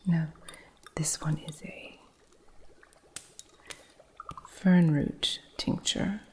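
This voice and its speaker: A woman's voice: deep voice, fairly even intonation, very slow, breathy edge, exaggerated voice. Woman whispering as a wry witch shopkeeper. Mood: hushed. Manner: soft unvoiced whisper, dry humor. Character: wry female witch shopkeeper teaching an apprentice. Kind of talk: ASMR roleplay.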